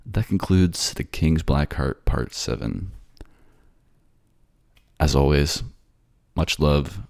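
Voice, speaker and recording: A man with an extremely deep voice and a lot of fry, narrating and talking as a voice actor. The recording is close and dry. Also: slow pace, a little mellow, no echo. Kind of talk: scripted story narration and casual sign-off.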